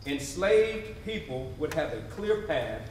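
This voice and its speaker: A man's voice: fairly high pitch, very animated, slight background noise. Male stage narrator, very echoey room. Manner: deliberate, emphatic storytelling. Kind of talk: scripted dramatic narration in readers theatre. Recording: very echoey room, distant mic, responsive audience.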